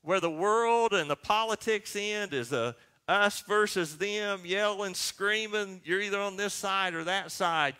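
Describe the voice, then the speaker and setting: A man's voice: high-pitched, bright, forceful tone. A man in his sixties preaching a sermon as pastor, speaking emphatically and posing questions that he answers himself. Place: church sanctuary.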